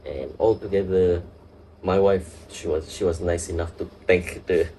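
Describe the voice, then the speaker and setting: A young man from Singapore with a Singaporean accent, a nurse talking as a guest in a casual interview, earnest and halting, often restarting his sentences. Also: low voice, fairly even intonation, dark tone, slight background noise.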